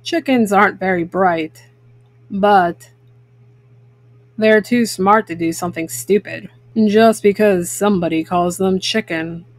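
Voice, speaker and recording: A woman voicing a folksy old male bear farmer, calm, wise and plain-spoken, giving gentle advice in a children's storybook. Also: mid-range voice, unhurried, no echo, pitched below the performer's own voice, close to an everyday voice. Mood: reassuring, folksy.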